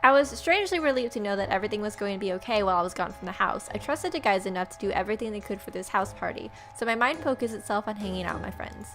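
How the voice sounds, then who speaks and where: A woman's voice: medium pitch, animated, rapid-fire, hardly any pauses. A woman doing playful gaming commentary, reading story text aloud and adding jokey, informal asides. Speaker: let's play host reading the game aloud. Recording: game music behind the voice. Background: game music.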